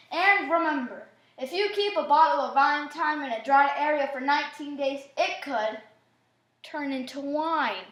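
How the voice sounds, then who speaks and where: Woman playing a high perky big brother. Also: a bit bright, slight background noise, heavily acted. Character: perky thirsty big brother in 1920s advert. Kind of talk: 1920s radio commercial skit. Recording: echoey room.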